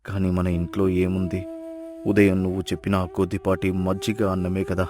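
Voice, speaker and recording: A man voicing a poor, kind and self-sacrificing village husband in a moral story, earnest and gentle. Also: low voice, monotone, normal speed, lightly acted. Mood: earnest, reassuring. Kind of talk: storybook dialogue acting.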